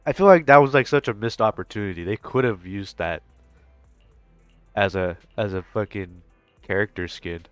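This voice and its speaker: A man with a lowish, smooth voice reacts casually to a video game. He rambles informally and swears a bit, close and dry on the mic. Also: moderate pace, dry recording, faint music behind. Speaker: gaming reaction YouTuber. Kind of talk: casual reaction commentary over game footage.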